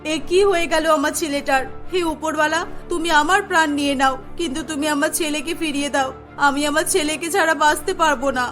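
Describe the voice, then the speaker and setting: A woman's voice: high-pitched, fairly even intonation, a little fast, hardly any pauses, fairly bright tone, faint music behind. A woman voicing an overprotective, doting village mother in a cartoon, with an emotional, fussing manner. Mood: anguished. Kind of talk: Bengali cartoon voice acting.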